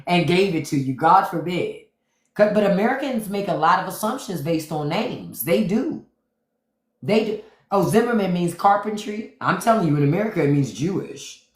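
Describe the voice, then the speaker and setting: A woman's voice: fairly low pitch, expressive, normal speed, roomy sound. A woman hosting a casual livestream chat, emphatic, repeating her points and reading viewer comments aloud. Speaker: podcast host. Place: home room on a livestream.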